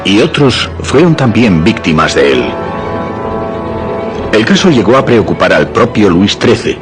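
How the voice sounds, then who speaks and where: A man's voice: on the low side, sing-song, measured pace. A man giving dramatic scripted narration for suspense radio theatre. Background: music.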